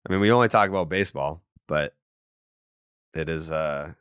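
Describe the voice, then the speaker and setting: A man's voice: a bass voice, moderate pace, a bit bright, clear, resonant tone, no echo, studio quality. A man hosting a baseball podcast, chatting casually. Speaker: sports podcast host.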